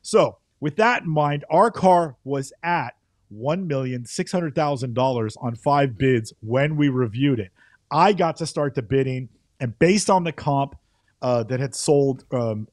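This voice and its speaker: A man's voice: medium pitch, a little mellow, dry recording. A middle-aged man co-hosting a podcast, talking conversationally through a car auction recap into a close studio microphone. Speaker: podcast co-host. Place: indoor home studio. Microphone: close boom studio microphone.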